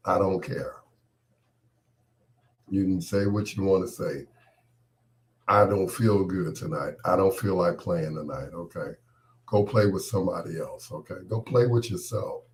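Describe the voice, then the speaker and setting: A man hosting an on-air monologue, talking with a cold and a partly lost voice, irritated and dismissive, his words often trailing off quietly. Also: low voice, slight background noise. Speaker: host.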